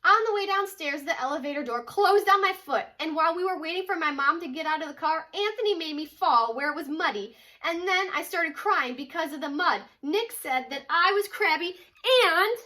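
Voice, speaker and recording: A woman's voice: extremely high pitch, animated, normal speed, few pauses, sharp tone. A woman reading a children's picture book aloud as a teacher would, expressively and with dramatic emphasis on key words.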